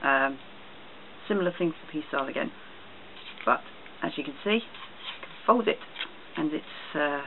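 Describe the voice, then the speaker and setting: A man giving a casual, halting show-and-tell product review for a vlog. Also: on the high side, animated. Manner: hesitant, halting delivery. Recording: tinny phone-like sound, loud noise, clicks.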